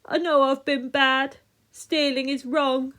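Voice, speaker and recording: A man's voice: very high voice, level tone, takes it slowly, pushed and bright, voice pushed up, full character voice. A woman voicing a sad, apologetic, sniffling man who steals books, in a children's storybook. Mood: sad, sorry. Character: sad, sorry book thief, a man.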